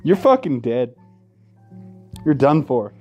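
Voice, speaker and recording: A man's voice: medium pitch, big pitch swings, slow pace, dark tone. A man reading a horror game aloud in a dramatic style as a let's play host, breaking off for crude, profane, mocking asides. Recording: music behind the voice. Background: game music.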